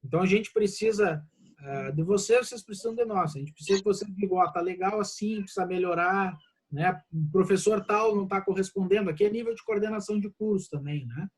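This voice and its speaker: A man's voice: high-pitched, a little fast, slightly rough. A Brazilian man, a law professor on a video call, talking casually and reassuringly to his students, peppering his speech with "né?". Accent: Brazilian Portuguese. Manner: casual, warm reassurance with frequent "né?". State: slightly congested. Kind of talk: online class Q&A.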